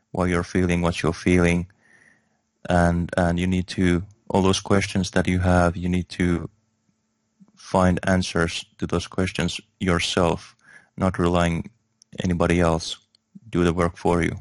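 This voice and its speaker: A man from Finland, a guest in a spiritual interview, speaking slowly and hesitantly with many fillers and restarts. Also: low-pitched, dry recording.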